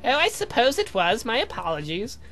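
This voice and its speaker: Naive young man in a game, acted by a man: bright, extremely swoopy, hesitant and nosy, faint music underneath. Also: very high-pitched, wildly swooping pitch, brisk, voice pushed up, full character voice. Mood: confused. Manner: hesitant, blurting questions.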